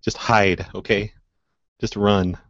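A man's voice: on the low side, level tone, slow, no room sound. A man hosting a prank call show, improvising casually in a mischievous, innocent-sounding way, on dull lo-fi audio.

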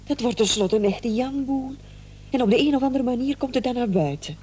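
A woman's voice: on the high side, lively intonation, fairly quick, darkish tone, breathy edge. An actress playing a concerned, weary wife who soothes her nervous husband in a radio play. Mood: soothing, doubtful. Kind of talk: Dutch radio play acting.

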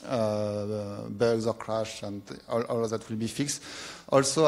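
A young man from France with a French accent, giving a technical conference talk in a lecture hall, pausing often with filler sounds. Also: mid-range voice. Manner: hesitant, many filler sounds. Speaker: conference speaker.

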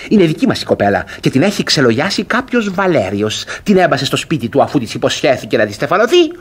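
An agitated, smug brother from a classic stage farce on the radio. His voice sits fairly high and swings widely in pitch. He speaks with sarcasm and theatrical emphasis, pressing every point. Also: sing-song, husky. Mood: urgent. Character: agitated, mocking, self-satisfied brother in classic French farce. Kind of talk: theatrical Greek radio comedy acting.

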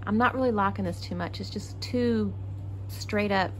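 A woman's voice: mid-range voice, moderate pace, slight background noise, low-quality recording. A woman from Texas hosting a casual crafting tutorial, chatty and thinking aloud as she works. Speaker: craft channel host.

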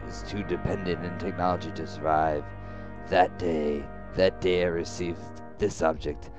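A man voicing a weary, fearful young man who narrates his ordeal, reading visual novel text with halting, dramatic phrasing. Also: deep voice, muffled recording. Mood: awed, determined.

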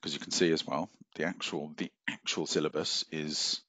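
A man's voice: extremely low pitch, fairly even intonation, normal speed, sharp tone, dry recording. A middle-aged man giving a recorded university lecture from his office over a webcam, rambling with many fillers and restarts. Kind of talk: recorded lecture walking through a syllabus. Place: office at a desk, screen-sharing.

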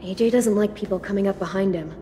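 A woman's voice: medium pitch, fast talker, dark tone, slight background noise, close to an everyday voice. An actress playing a guarded, protective teenage girl in a video game, terse, firm and dry.

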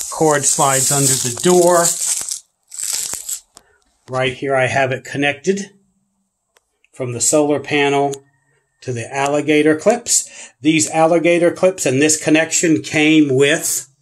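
A man's voice: on the high side, unhurried, long pauses, a little hiss or hum. A man hosting a prepper channel, talking casually off camera as he unboxes gear on a porch and thinks aloud with loose restarts. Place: porch and yard, outdoors.

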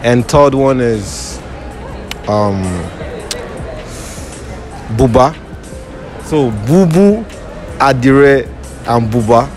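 A young man conducts a light street interview at an outdoor mall. He teases his guests and reads out answer options slowly, talking into a handheld phone. Music plays clearly in the background. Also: medium pitch, lively intonation. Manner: teasing, playful, repeats options slowly.

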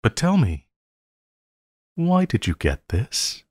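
A man playing a possessive supervillain lover in an intimate audio roleplay, coaxing and pleading with a halting delivery and quiet menace. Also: medium pitch, wildly swooping pitch, slightly rough, no echo, studio quality, heavily acted. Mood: suspicious, wounded.

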